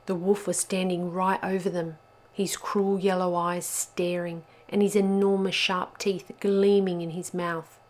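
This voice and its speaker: A woman storyteller reads a children's picture book aloud at a very slow pace. Her voice sits a little low, and her delivery is deliberate and expressive.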